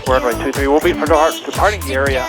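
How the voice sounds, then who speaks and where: A man's voice: mid-range voice, racing, over music. A man making formulaic aviation traffic calls as a pilot in a small plane cockpit. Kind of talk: clipped aviation radio phraseology.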